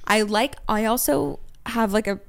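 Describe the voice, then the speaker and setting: A young woman in her twenties chatting on a podcast, lively and blunt, with a fairly high voice. She swears freely and fills her talk with "like". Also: a little slow, creaky. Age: 20s. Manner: animated, swears freely, heavy use of "like". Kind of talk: casual podcast chat.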